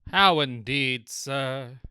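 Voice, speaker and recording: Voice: mid-range voice, very slow, pushed and bright, smooth, no echo. A performer voicing an elderly male butler, courteous and formal, in an audio drama. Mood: gently knowing.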